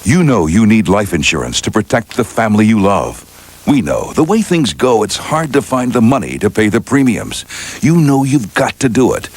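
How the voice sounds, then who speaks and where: A man reading a scripted commercial pitch, speaking straight to the listener. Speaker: commercial announcer. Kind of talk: scripted ad pitch, direct address.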